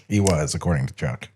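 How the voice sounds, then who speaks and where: A man's voice: low voice, warm tone, husky. A man co-hosting a podcast and casually heckling in a comic way. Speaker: podcast co-host.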